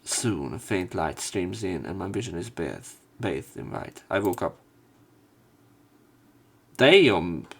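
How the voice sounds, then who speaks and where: Guy reading a visual novel out loud, creaky lowish voice, casual asides. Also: sing-song, takes it slowly, long pauses. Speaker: let's play host. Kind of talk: narrating visual novel aloud with casual commentary.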